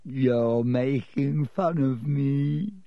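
A man's voice: on the high side, very slow, no room sound, muffled recording. A man voicing the glum, sulky ghost of a girl in a storybook, wounded, tearful and self-pitying. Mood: suspicious, hurt.

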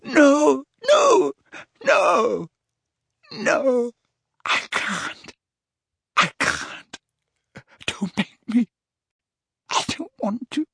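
Elderly wizard, high breathy wail, tormented, weakened, pleading, sobbing, audiobook fantasy figure. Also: male voice, huge pitch swings, fairly bright tone, dry recording, studio quality, heavily acted. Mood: terrified pleading. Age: older. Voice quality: breathy, wavering, high moaning voice. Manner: sobbing, pleading, drawn-out wails.

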